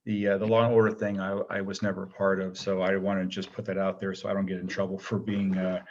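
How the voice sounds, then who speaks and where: Low-voiced man chatting over a dull connection. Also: monotone, quick pace. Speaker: interview guest, actor. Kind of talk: casual interview chat.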